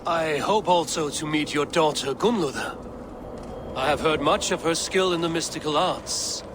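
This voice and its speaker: An actor playing a sly, persuasive god in disguise in a fantasy video game. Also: male voice, on the high side, unhurried, noisy background, natural voice. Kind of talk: video game cutscene acting. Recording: game audio with ambience.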